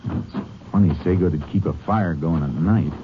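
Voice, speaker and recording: Brief: actor doing a level-headed lawman for a 1950s radio western, very deep, clipped, dull old broadcast sound. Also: normal speed, noisy background, natural voice. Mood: calm. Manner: calm, clipped, matter-of-fact. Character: steady, shrewd male Texas Ranger tracker. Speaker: Texas Ranger and narrator. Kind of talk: 1950s radio drama acting.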